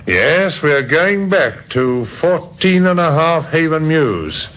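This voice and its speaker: An actor playing a courteous, thoughtful police inspector in an old radio drama, hesitant and dryly ironic. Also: male voice, on the high side, big pitch swings, takes it slowly, fairly bright tone, exaggerated voice. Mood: pondering. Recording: muffled, hissy old radio recording.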